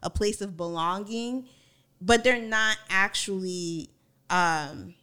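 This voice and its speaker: A woman's voice: medium pitch, takes it slowly, bright, forceful tone, clean tone. Reflective woman laying out her points. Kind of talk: casual discussion.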